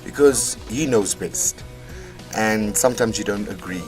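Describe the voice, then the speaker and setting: A man's voice: on the low side, unhurried. A man from Namibia whose first language is Afrikaans, speaking as a musician guest in a radio interview, earnest and openly emotional. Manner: earnest, emotional, laughs easily. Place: radio studio. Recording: radio studio with music behind.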